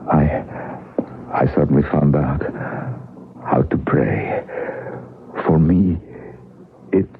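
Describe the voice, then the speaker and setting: An actor playing a grieving, haunted male concert pianist in a vintage radio drama, speaking in a halting, solemn, brooding way. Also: very deep voice, vocal fry, narrow, tinny audio, full character voice. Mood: reverent, fading. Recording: noisy, band-limited old radio broadcast.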